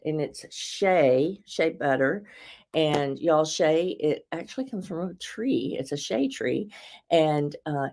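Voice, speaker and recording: A woman with a slightly raspy voice hosts a casual live beauty product demo, chatting and rambling with viewers and laughing often. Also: deep voice, a little mellow. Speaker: host presenting beauty products.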